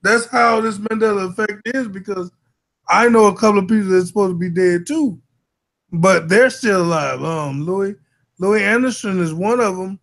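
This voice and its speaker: A man's voice: high voice, normal speed, vocal fry, fairly bright tone. A man hosting a casual chat, talking in a loose, slangy way and repeating himself often. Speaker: host.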